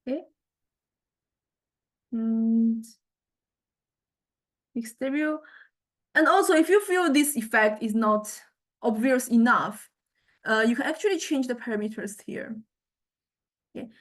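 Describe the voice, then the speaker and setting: A woman with a fairly high voice teaches as a lecturer, walking through software in an informal way. She speaks haltingly, thinking aloud between steps. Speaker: music lecturer.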